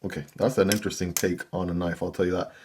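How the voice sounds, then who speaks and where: Low-voiced man reviewing gear. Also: little pitch movement, fast talker, a little mellow, slight background noise. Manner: chatty, talks straight to viewers. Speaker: knife review channel host. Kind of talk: casual product unboxing and review.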